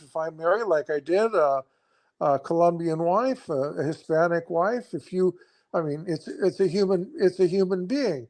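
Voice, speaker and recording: A man explaining scripture in conversation, earnest and halting, often restarting his sentences. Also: high-pitched, a little mellow. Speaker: guest.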